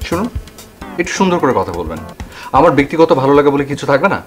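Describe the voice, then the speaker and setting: Touchy, defensive young man, played by a man, TV drama: rapid delivery, loud music underneath. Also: medium pitch, very animated, lightly acted. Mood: irritated, defensive. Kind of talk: Bangla TV drama acting.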